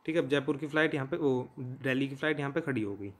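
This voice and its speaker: A man's voice: medium pitch, fast talker. Indian man explaining informally, often checking in. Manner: conversational, frequently checks in with listeners. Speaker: teacher, explainer. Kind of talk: informal explanatory lesson in Hindi mixed with English terms.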